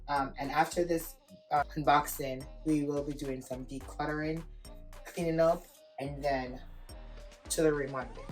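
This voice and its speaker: Low-pitched woman vlogger, hoarse with a cold, chatty and casual, faint music under. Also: darkish tone. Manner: chatty, addresses viewers as guys. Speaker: home vlogger. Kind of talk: casual vlog chat.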